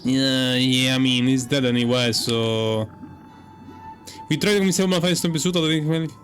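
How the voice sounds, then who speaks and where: A man's voice: mid-range voice, normal speed, fairly bright tone, faint music behind. A man giving casual playthrough commentary, rambling and hesitant, swearing as he thinks aloud. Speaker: gaming streamer.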